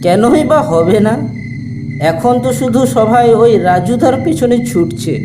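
A man voicing a resentful older village man who complains and fires off rhetorical questions in an animated horror story. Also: very high voice, measured pace, music in the background. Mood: bitter, grumbling.

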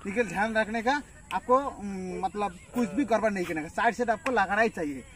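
A man's voice: high-pitched, fairly quick, crowd noise. A young man in his twenties demonstrating a home workout in a field, counting reps aloud while he lifts, with many fillers. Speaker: fitness instructor. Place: open farm field outdoors. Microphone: camera at a distance. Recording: distant outdoor camera audio, faint noise.

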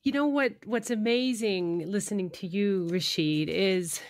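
A woman's voice: medium pitch, a little slow, dry recording, studio quality. A woman hosting a conversational radio interview, reflecting admiringly on what her guest has said.

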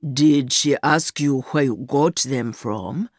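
A woman voicing an older rich man, a smooth, condescending and fatherly secret lover in an audiobook story. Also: rumbling low, slow pace, no echo, studio quality, pitched below the performer's own voice, heavily acted. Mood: smooth, probing. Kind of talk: acted dialogue in audiobook story.

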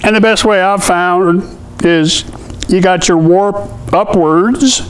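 A man in his fifties explains a hands-on technique in a relaxed, conversational tutorial style. His voice sits a little high for a man, and he talks in a folksy, plainspoken way. It is recorded in an indoor workshop with faint noise behind him. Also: slow, rough recording. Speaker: artist and welding tips host. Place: indoor cluttered metal workshop.

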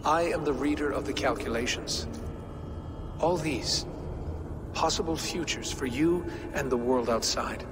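A man doing a precise, calm calculating being. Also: mid-range voice, noisy background, lightly acted. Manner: precise, measured, matter-of-fact. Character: calm, precise man who reads probabilities. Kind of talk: video game cutscene. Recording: game audio with music behind.

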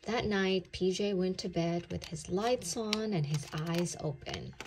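A woman reading a children's story aloud in an expressive, deliberate way. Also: lowish voice, music in the background.

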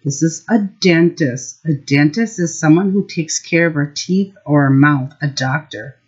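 A middle-aged woman teacher explaining simple words and reading a story aloud to young children, instructive and expressive. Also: low-pitched, measured pace, breathy edge. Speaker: preschool teacher. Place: small room at home. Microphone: built-in webcam or laptop mic. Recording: dull, lo-fi webcam audio.

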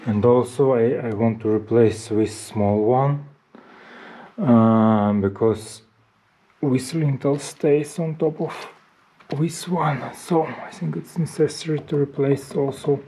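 Slow, mellow-voiced man renovating. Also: mid-range voice, breathy edge. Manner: plain, halting, stops to point out details. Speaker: home renovator. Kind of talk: casual explaining to camera. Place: inside an old brick farmhouse under renovation. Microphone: action camera held at arm's length.

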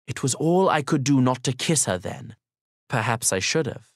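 A man narrating a literary audiobook in the first person, in a formal, measured and polite manner. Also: medium pitch, lively intonation, gravelly, dry recording, clean studio sound.

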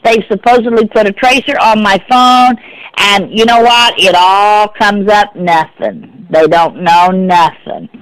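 A woman's voice: medium pitch, steady tone, a little slow, dry recording. A middle-aged woman, speaking insistently and repeating herself in exasperation on a phone call. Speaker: prank call victim. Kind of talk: phone conversation.

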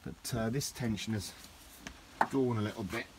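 A middle-aged man with a low voice, rambling through a casual hands-on garden demonstration with lots of restarts. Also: normal speed, background noise. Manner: rambling, with many restarts and fillers. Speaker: DIY vlogger. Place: outdoors in a garden.

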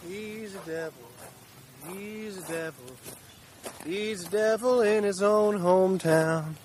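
A man's voice: high-pitched, slow, a little mellow. A man playing an eerie, courteous stranger in an audio drama, speaking with an insinuating politeness. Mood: eerie singing. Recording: faint background noise.

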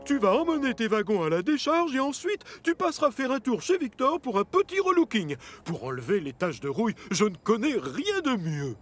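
Fussy, high-voiced railway boss. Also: male voice, wildly swooping pitch, normal speed, hardly any pauses, exaggerated voice. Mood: bossy. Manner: emphatic, bossy. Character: fussy, authoritative middle-aged male railway controller. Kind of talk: dubbed children's cartoon acting.

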